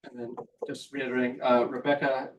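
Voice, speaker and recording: A man's voice: mid-range voice, flat delivery, fairly quick, far from the mic, lo-fi sound, background noise. A man hosting a book event, thanking contributors in a plain, hesitant way. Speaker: event host.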